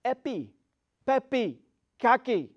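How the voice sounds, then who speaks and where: Man acting out a loud, high-pitched wicked witch. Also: sing-song, no echo, lo-fi sound, full character voice. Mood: loud chanting. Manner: slow incantations, loud imperious orders. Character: commanding wicked old witch, female, imperious and cruel.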